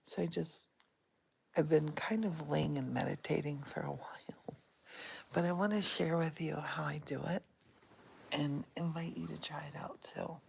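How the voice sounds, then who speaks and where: A middle-aged woman talking softly and unhurriedly from her bed, recorded on a phone she holds in one hand. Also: low voice, steady tone, gravelly, slight background noise. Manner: soft and whispery, unhurried. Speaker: vlogger. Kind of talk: casual guided meditation vlog. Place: in bed at home. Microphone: phone held in one hand.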